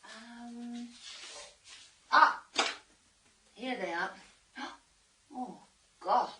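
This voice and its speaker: An actress playing a nervous, easily embarrassed young British woman in a radio thriller, speaking in a halting, self-conscious way. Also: fairly high pitch, very noisy. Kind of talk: naturalistic radio thriller acting.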